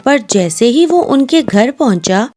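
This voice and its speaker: A woman's voice: fairly high pitch, sing-song, measured pace, a little mellow. A woman reading scripted storybook narration as the narrator, with music behind her.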